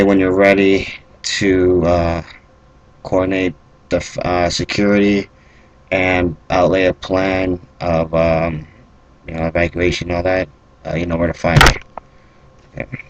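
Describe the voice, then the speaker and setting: A man improvising a fantasy roleplay speech as a commanding lord, speaking in a halting, deliberate, measured way. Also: low voice, little pitch movement, poor audio. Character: stern kingdom lord commanding armored Templars. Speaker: role-player.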